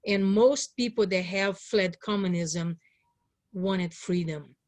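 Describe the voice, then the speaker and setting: A woman in her sixties, from Hungary, speaks as a political guest in an interview. Her voice is mid-pitched, with very little room sound around it. She gives personal testimony in an earnest, emphatic, pleading way. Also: takes it slowly, a little mellow, no echo. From born in Hungary. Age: 60s.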